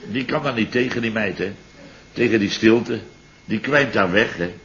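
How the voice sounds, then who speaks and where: A man's voice: on the low side, moderate pace, a bit bright. An actor playing a rambling, muddled middle-aged businessman in a 1960s radio play, groping for words and exaggerating comically. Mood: blustering. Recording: dull old radio recording.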